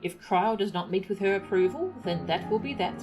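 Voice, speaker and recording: A woman's voice: mid-range voice, lively intonation, a little fast, natural voice, rough recording. A woman reading aloud the game dialogue of a proud, prickly young scholar in a formal, wry way. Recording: stream with loud game music.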